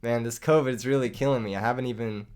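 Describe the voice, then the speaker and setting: A man's voice: mid-range voice, steady tone, quick pace, darkish tone. Man commentating a card game with a lost voice. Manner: joking, self-mocking, laughs often. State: lost voice from illness. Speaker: gaming YouTuber. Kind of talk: live gameplay commentary.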